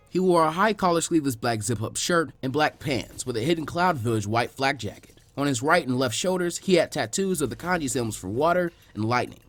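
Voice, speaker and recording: A man reading an anime fan fiction story aloud as scripted third-person narration. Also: medium pitch. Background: faint music.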